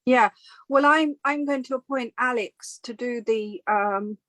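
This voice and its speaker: A woman's voice: on the high side, a bit bright, no echo. Flustered woman on a dry call. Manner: flustered, halting. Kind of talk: video call chat.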